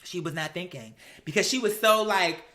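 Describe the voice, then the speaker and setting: Bright-voiced, sassy American woman. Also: on the low side, moderate pace. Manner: sassy, animated, slangy, acts out imagined dialogue; blunt, profane. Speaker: host of a reality TV commentary show. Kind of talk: casual opinionated TV recap chat.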